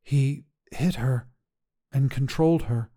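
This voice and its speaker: A man plays an anxious woman burdened with shame in a story reading. Her words come haltingly and strained, slow and lifted a little above a plain narrating voice. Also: mid-range voice, warm tone, voice pushed up, natural voice. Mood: sorrowful. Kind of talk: audio short story character voice.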